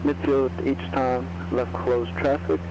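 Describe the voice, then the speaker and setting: A man's voice: mid-range voice, little pitch movement, slow, lo-fi sound. A man speaking as a pilot, making procedural calls to the tower over a radio. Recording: radio voice over engine hum. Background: engine hum.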